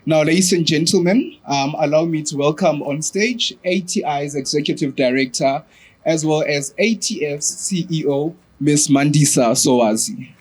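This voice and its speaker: A man's voice: on the high side, normal speed, breathy edge. A man from South Africa hosting an awards ceremony as program director, with polished, formal emcee delivery.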